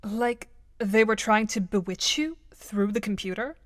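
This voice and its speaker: A woman's voice: medium pitch, a little slow, noisy background, natural voice. A woman playing a composed, articulate but worried teenage girl with formal, dry wording in an amateur audio drama. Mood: composed, concerned.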